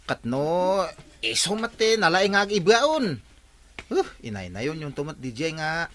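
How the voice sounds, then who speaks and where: A meek, grumbling village workman for an Ilocano radio comedy. The voice is very high, bright and swooping, with an Ilocano accent. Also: sing-song, measured pace. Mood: disheartened.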